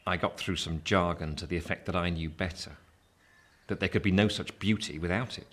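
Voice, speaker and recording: Low-voiced reflective gentleman narrator. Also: fairly even intonation. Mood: measured. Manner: measured, literary. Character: reflective gentleman narrating his own youth. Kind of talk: scripted first-person narration, radio drama.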